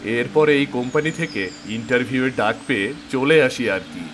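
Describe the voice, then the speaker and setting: A man playing a struggling, protective older brother and old friend in expressive, resigned cartoon dialogue. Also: mid-range voice, moderate pace, lightly acted. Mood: resigned, downcast. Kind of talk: acted cartoon drama dialogue. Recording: noisy outdoor ambience with birds, insects.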